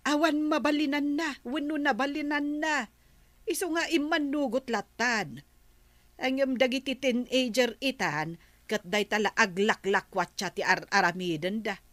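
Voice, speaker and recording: Woman acting a high, animated village gossip. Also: no room sound. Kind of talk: comedy drama acting.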